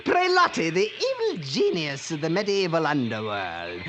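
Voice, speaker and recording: An actor playing a frightened lighthouse keeper who turns into a mad, theatrical ham, in 1940s radio acting style, on an old radio recording with music. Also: male voice, wildly swooping pitch, normal speed, fairly bright tone, slight background noise. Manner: shrill, panicky, then theatrical and giggling.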